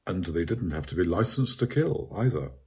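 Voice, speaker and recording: A man with a low-pitched voice narrates a scripted literary essay. His tone is noticeably husky and a little rough-edged. He reads in a measured, bookish manner, as an author presenting his own writing. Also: animated, a little mellow. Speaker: author reading own article.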